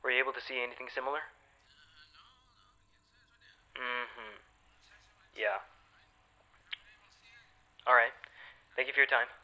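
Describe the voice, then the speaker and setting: A man playing an eager, stubborn astronomer in an audio drama, with an excitable, insistent manner. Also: mid-range voice, moderate pace, lo-fi sound, natural voice. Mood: businesslike. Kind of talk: modern audio drama acting.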